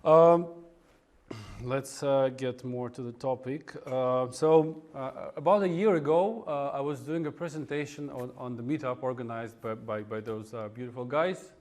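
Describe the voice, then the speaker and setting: A man from Russia with a Russian accent giving an informal technical talk at a meetup, in a halting, hesitant way with frequent pauses. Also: medium pitch, darkish tone. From Russia (Moscow). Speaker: conference speaker, web developer. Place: indoor meetup room in front of an audience.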